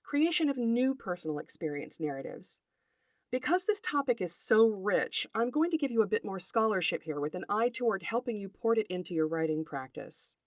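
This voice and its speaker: Middle-aged woman, medium pitch, scripted podcast narration, articulate deliberate storyteller, dry intimate recording. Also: sing-song, no room sound. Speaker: podcast host, writer and folklorist.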